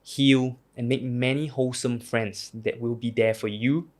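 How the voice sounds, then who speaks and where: A man's voice: mid-range voice, fairly even intonation, a little slow. A man reading a scripted advertisement in a personal, sincere tone. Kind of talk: scripted ad read.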